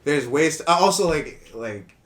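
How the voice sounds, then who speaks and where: A man in his twenties from Indonesia, a guest on a podcast, telling his story in a relaxed, rambling way with lots of "you know what I mean.". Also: a little high, normal speed. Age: 20s. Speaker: guest, brand co-founder. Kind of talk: casual podcast interview. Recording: echoey room.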